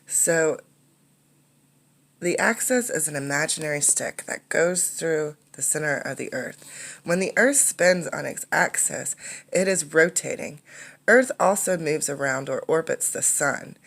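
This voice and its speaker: Low-voiced woman reading aloud to children. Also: expressive, creaky, a bit bright. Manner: instructive, pauses to explain and ask questions. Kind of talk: interactive picture-book read-aloud with teaching asides.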